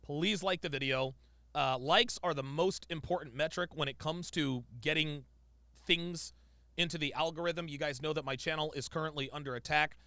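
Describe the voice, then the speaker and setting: A man's voice: on the high side, moderate pace, vocal fry, pushed and bright, no echo. A man streaming live, reacting to a clip with emphatic, repetitive ranting commentary.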